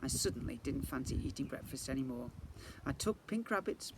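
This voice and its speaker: Expressive man reading a children's storybook. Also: medium pitch, brisk. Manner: expressive, addresses young listeners. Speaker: infant school teacher reading to pupils. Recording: faint background noise.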